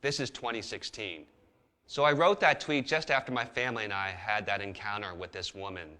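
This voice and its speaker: Man with a bright, measured voice. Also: lowish voice. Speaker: journalist. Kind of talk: scripted testimony to camera. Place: office by a window.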